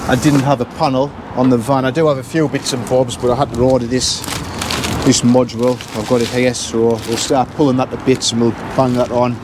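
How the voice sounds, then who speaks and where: A man's voice: mid-range voice, flat delivery, fairly quick. A man giving a casual, matter-of-fact repair walkthrough, thinking aloud as he works. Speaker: intercom repair engineer presenting his work. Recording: loud traffic and outdoor street noise.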